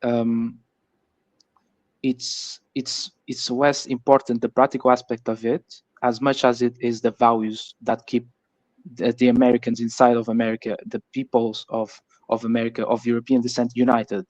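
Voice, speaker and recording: Halting Portuguese-accented man, even pitch. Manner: halting, repeats words while searching for phrasing. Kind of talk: casual political discussion. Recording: muffled, echoey voice-chat audio.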